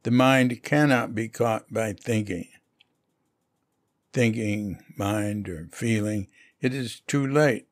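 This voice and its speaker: A man reading a spiritual lecture aloud in a measured way. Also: fairly low pitch, no echo, clean studio sound. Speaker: reader of a Zen lecture.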